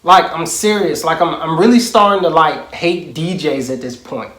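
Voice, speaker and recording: A man with a high-pitched voice performs a parody monologue as an aggrieved, self-important music mogul. He sounds boastful and indignant, leaning on emphatic pauses. The recording has a noticeably roomy echo. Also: fairly bright tone. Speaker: comedic impressionist.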